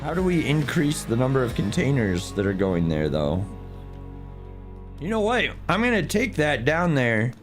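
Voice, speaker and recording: A hoarse man who has nearly lost his voice, chatting casually with viewers and a teammate while livestreaming a game. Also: mid-range voice, huge pitch swings, normal speed, soft background music. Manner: chatty, greets viewers by name. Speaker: streamer playing a driving sim. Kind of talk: casual live-stream chat.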